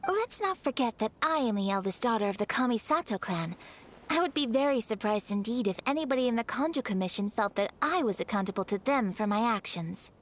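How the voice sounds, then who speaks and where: An actress voicing a dignified eldest daughter of a noble clan in a video game, formal, measured and commanding. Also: female voice, a little high, expressive. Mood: proud, firm.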